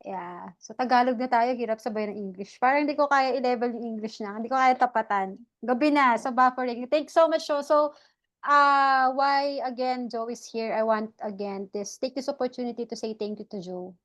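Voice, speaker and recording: A woman with a Filipino accent hosts a casual coaching chat, moving easily between English and Tagalog. Her voice sits a bit high. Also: a little fast, fairly bright tone. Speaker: coach and host.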